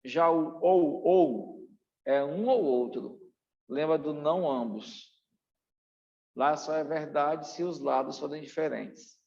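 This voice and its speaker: A man's voice: fairly high pitch, slow pace, creaky, dark tone. A Brazilian man giving an exam-prep lecture as a teacher, in a didactic, emphatic way that repeats key points. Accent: Brazilian Portuguese. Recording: dull, muffled, long room reverb.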